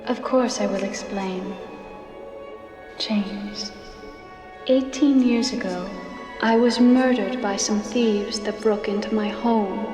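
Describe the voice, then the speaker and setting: A woman voices a gentle, solemn ghost who pleads slowly and with calm conviction. Her unhurried voice sounds from far off in a long, echoing hall, with music playing clearly underneath. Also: mid-range voice, close to an everyday voice. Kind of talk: 1990s game cutscene acting. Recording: distant echoey hall with music.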